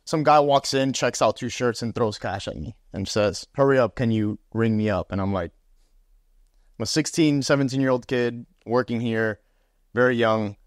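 A man's voice: mid-range voice, normal speed, dry recording. Casting: young man from Miami, casual storyteller, dry close lapel sound. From Miami, USA. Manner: animated, gestures, uses fillers like "um" and "right?". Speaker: podcast guest, sales executive. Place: small room with armchairs, indoors.

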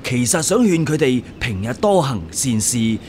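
An actor playing an officious, fawning exam-hall guard in a radio drama. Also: male voice, mid-range voice, big pitch swings, a little slow, a little mellow, slight background noise. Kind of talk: Cantonese radio drama acting.

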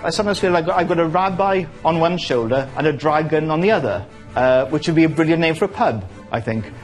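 A man's voice: a little high, animated, fairly quick. Conversational man from Wales, music behind. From Port Talbot, Wales. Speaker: presenter and stand-up comedian. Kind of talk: conversational, reflective documentary talk.

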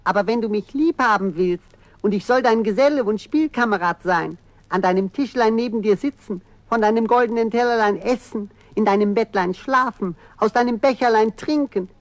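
An actress playing a talking frog in a fairy-tale radio play, insistent and demanding, with deliberate delivery. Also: female voice, on the high side, natural voice.

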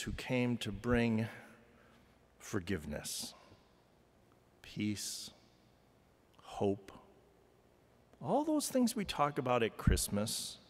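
A middle-aged man preaching a chapel sermon in measured, emphatic phrases with deliberate pauses. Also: fairly low pitch. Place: large chapel, indoors at a pulpit. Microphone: gooseneck lectern microphone. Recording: reverberant hall with long echo.

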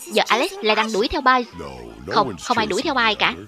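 A woman with a highish voice reads cartoon dialogue as a voice-over. Loud music plays behind her. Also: lively intonation, fairly quick. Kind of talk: scripted reading of cartoon dialogue.

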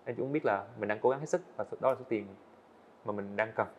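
Eighteen-year-old man, fast and earnest, muffled lo-fi podcast audio. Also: lowish voice, slight background noise. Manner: earnest, candid, hesitant restarts. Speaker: guest animator. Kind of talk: podcast interview storytelling. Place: indoor studio set. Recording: dull lo-fi sound.

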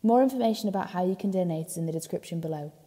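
A woman announcer reads a scripted appeal. Her voice is on the low side and soft-toned, dark and mellow, with a long reverberant tail. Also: very fast. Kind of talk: scripted narration, charity appeal.

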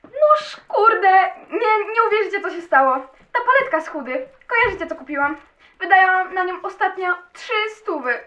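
A clumsy, dramatic young woman acted by a female student in a radio play, with a high voice. She exclaims and mocks herself, over a clearly noisy recording with clicks. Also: expressive, moderate pace, sharp tone, highs cut off, exaggerated voice. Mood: dramatic dismay. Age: young adult. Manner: exclamatory, self-mocking.

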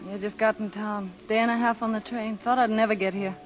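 A woman's voice: medium pitch, little pitch movement, brisk, soft background music, close to an everyday voice, poor audio. An actress playing a bitter, tired young woman with blunt, slangy talk in a 1930s radio drama. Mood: weary. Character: weary, bitter, hard-bitten young woman, guarded and tough. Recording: vintage 1930s radio broadcast.

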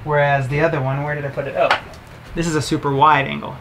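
A man's voice: fairly high pitch, flat delivery, quick pace, noisy background. A man in his thirties casually reviewing camera gear to the camera, chatty and upbeat, explaining as he handles each item in a boat cabin. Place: cabin of a sailboat, indoors.

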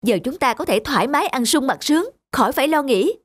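A woman voicing a character in an animated folk tale. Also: high voice, fast talker, dry recording. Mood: earnest.

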